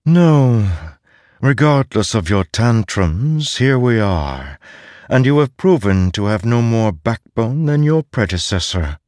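A man's voice: mid-range voice, big pitch swings, takes it slowly, darkish tone, no echo, pitched above the performer's own voice. A cold, imperious voice speaking into someone's mind, as a man reads it out. It talks down with cutting authority. The sound is close and dry, with no room around it. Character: cold commanding disembodied male voice.

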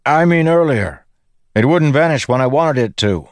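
A man voicing an earnest, probing warrior lord in a fantasy audiobook, shouting with urgency. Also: medium pitch, animated, normal speed, dry recording, pitched above the performer's own voice, close to an everyday voice. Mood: urgent, awkward. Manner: raised, urgent, questioning.

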